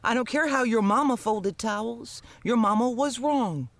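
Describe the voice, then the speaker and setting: A woman's voice: fairly high pitch, normal speed, dry recording. A woman co-hosting a podcast in casual chat, blunt and jokey, with some swearing. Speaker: podcast co-host.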